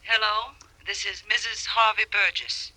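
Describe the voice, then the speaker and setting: A woman's voice: mid-range voice, steady tone, unhurried, bright, forceful tone, no echo, rough recording. An actress with an American accent playing an urgent, secretive married woman on the telephone in a 1940s radio drama. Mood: urgent, anxious. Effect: telephone filter.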